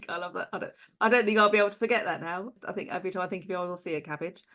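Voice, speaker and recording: A woman hosting an online session over a video call, encouraging and quick to laugh, then walking through a list of changes. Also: fairly low pitch, fairly bright tone. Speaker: host.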